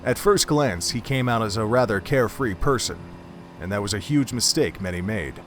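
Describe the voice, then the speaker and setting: A man narrating an audio drama in a measured, literary storytelling style. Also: lowish voice, animated, a little hiss or hum. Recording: background music under the voice.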